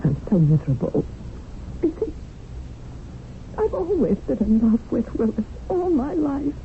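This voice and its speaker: Fretful English lady, high-pitched. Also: huge pitch swings, a little slow. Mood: miserable, pleading. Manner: hesitant, emotional, polite. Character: flustered, earnest English lady, lovelorn and fretful. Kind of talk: 1970s radio drama acting. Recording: noisy narrow-band old radio broadcast.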